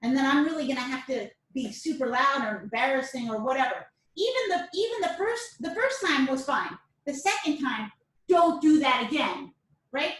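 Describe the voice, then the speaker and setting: Woman, high voice, chatty self-defense teacher, very echoey room, mic set back. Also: animated, moderate pace, fairly bright tone, distant mic. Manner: chatty, repeats words, asks "all right?" often. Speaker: karate and self-defense instructor. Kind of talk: casual class lecture to camera. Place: dojo or training room.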